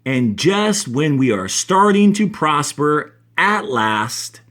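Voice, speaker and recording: A storybook town leader, male and pensive, voiced by a man reading aloud. He grumbles in formal, faintly pompous phrases. His speech comes slowly and haltingly, with expressive rises and falls. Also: a little high, exaggerated voice. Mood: aggrieved.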